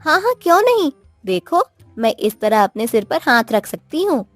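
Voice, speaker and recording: A woman's voice: sits high, very animated, moderate pace, pitched above the performer's own voice. A woman voicing a clever, bold young wife in a cartoon moral tale, speaking in a taunting, coaxing manner. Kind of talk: cartoon moral story acting. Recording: music behind the voice.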